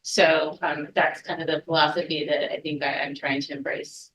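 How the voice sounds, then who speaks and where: A woman's voice: low voice, flat delivery, normal speed, fairly bright tone. A woman at an educators' workshop speaks in a reflective way, with many fillers. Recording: dull, muffled audio.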